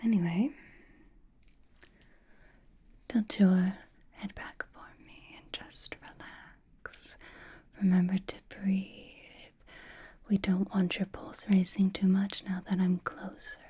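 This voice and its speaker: ASMR roleplay vampire, woman performer: slow, very breathy whisper, teasing, possessive, intimate and reassuring. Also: medium pitch, little pitch movement. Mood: soothing. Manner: intimate, slow whispering with sighs and soft hums.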